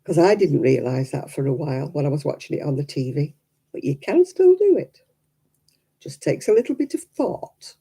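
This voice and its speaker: A British woman speaks in a very dark, muffled-warm tone with a husky, croaky edge, hoarse from being unwell. She chats informally as a livestreamer would, with dry, teasing humour aimed at her viewers. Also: low voice, very animated, normal speed. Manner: wry, teasing. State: hoarse after illness. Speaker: livestreaming crafts vlogger. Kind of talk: casual chat to live viewers.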